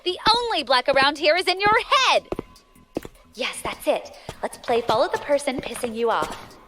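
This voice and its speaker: A woman's voice: high voice, expressive, normal speed, fairly bright tone. A woman playing a brash female space pirate captain, defiant and cocky. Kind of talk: audio drama acting. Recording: music score underneath.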